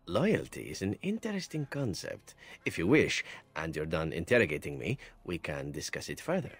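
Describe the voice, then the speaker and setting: Suave male elf assassin, low animated husky voice, wry game character. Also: normal speed, no echo, heavily acted. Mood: candid, bargaining. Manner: smooth-talking, playful, formal phrasing.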